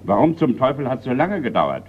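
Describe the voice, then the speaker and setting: An actor playing a gruff, impatient old colonel in a German radio crime drama, blustering and hesitating. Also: male voice, medium pitch, big pitch swings, brisk. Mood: indignant. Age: older. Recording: muffled, noisy old radio recording.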